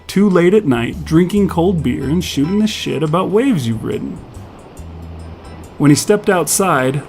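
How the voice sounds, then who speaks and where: A man's voice: fairly high pitch, darkish tone. A man narrating scripted fiction as a storyteller.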